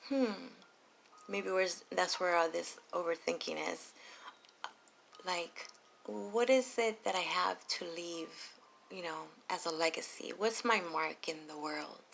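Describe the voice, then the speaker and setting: A woman reading tarot late at night, talking softly and low and slipping into whispers. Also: vocal fry, slight background noise. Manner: soft and low, drifting into whispers. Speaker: tarot reader.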